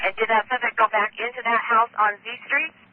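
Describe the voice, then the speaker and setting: Woman dispatcher on police radio: brisk, procedural, rapid delivery, through a thin, noisy scanner line. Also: on the high side, fairly even intonation, narrow, tinny audio. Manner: clipped, procedural. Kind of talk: radio dispatch, repeating unit calls.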